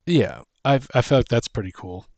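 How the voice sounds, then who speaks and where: A man co-hosting a casual chat, brainstorming fantasy lore in a halting, thinking-out-loud way. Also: mid-range voice, expressive, no room sound. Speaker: podcast co-host.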